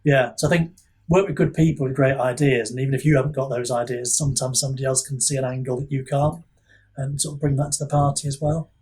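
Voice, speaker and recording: A man's voice: mid-range voice, fairly even intonation, fast, darkish tone, breathy edge. A man talking casually as an author on a podcast, modest and hesitant, rambling a little.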